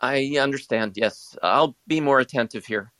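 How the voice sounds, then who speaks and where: A shy, dreamy schoolboy in an audio drama, played by a man. His voice sits at a middle pitch and comes across hesitant, in a dry recording. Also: moderate pace, a bit bright, no echo, close to an everyday voice. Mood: sheepish. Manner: hesitant, apologetic. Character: shy, dreamy schoolboy who draws comics.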